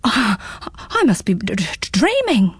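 A woman's voice: fairly high pitch, huge pitch swings, unhurried, breathy edge, dry recording, exaggerated voice. A woman voicing a bewildered, polite young man in a storybook reading, stammering now and then. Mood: startled. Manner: stammering, startled. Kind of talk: storybook read-aloud.

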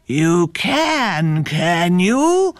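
A woman voicing a big menacing male bear in a storybook, growling and drawing the words out slowly. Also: on the low side, sing-song, no echo, clean studio sound, heavily acted. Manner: slow, drawn-out.

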